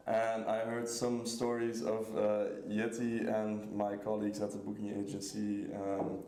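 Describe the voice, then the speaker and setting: A man's voice: mid-range voice, darkish tone. A man from Belgium, a DJ chatting casually in an interview. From Antwerp, Belgium. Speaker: DJ and label founder being interviewed. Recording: echoey room with faint background noise.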